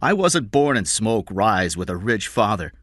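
A man's voice: lowish voice, big pitch swings, measured pace, fairly bright tone, no room sound, clean studio sound, pitched above the performer's own voice, full character voice. A man voicing a resentful, yearning kidnapper in an audiobook thriller, insistent and embittered. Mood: bitter, insistent. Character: resentful, yearning male kidnapper, poor and determined.

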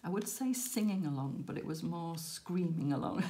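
A woman hosting a crafting vlog, croaky and tired after a late night, rambling and self-deprecating with frequent laughs. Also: lowish voice, animated, normal speed, mellow. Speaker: crafting channel host. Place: indoors at a crafting table.

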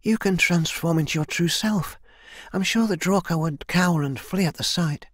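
A young warrior woman of a fantasy audiobook, acted out by a male narrator, pitched well up high. The delivery is earnest and breathy, anxious yet determined, in a dry, close recording. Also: moderate pace, a bit bright, no room sound. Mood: earnest, hopeful.

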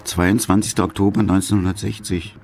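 A low-voiced man narrates a crime documentary from a script. His delivery is terse and suspenseful, with plenty of gravelly creak. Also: steady tone, a little fast, darkish tone.

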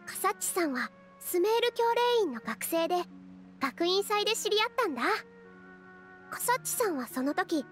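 A woman playing a gentle, polite, shy young woman in a Japanese anime game, with a soft, girlish voice. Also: very high voice, very animated, normal speed, faint music behind, full character voice. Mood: gentle, bashful.

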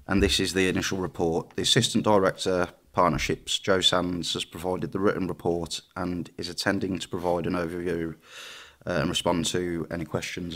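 Man, committee chair, very deep and flat, measured agenda reading. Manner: measured, reads agenda item. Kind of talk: formal meeting chairing. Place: large formal council chamber.

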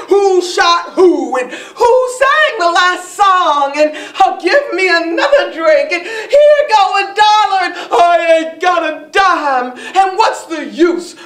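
Casting: actress as a fed-up, hard-drinking club woman, high voice, folksy theatrical complaining, recorded at a distance in a reverberant room. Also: full character voice. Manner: folksy, colloquial, loud complaining with sighs. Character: exasperated, weary, hard-drinking blues-club woman ranting. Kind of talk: theatrical dramatic stage monologue. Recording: echoey room, distant mic.

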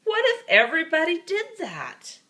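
Woman playing scolding storybook grown-up, stern, emphatic, bright, animated pitch. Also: normal speed, heavily acted. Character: stern scolding grown-ups questioning a naughty child.

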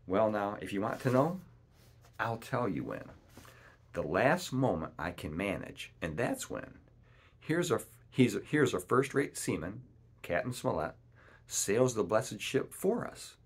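A scheming, menacing pirate ship's cook as a male narrator plays him, husky and swinging widely in pitch. He talks in boastful, rustic seafaring turns, wheedling and bossing like a storybook villain. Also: very animated, a little slow, exaggerated voice. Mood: exasperated, scheming.

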